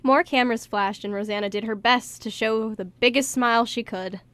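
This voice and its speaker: A woman narrates a radio play from a script. Her voice sits a little high, and the sound is dry with no room echo. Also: steady tone, normal speed, fairly bright tone.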